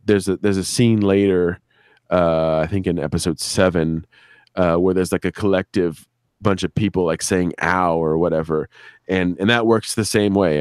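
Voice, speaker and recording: Casual male podcast editor, low pitch, close dry sound, loose rambling delivery with repeated phrases. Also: a little mellow, no echo. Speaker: sound designer and editor for an audio drama. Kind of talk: casual conversation on a live stream.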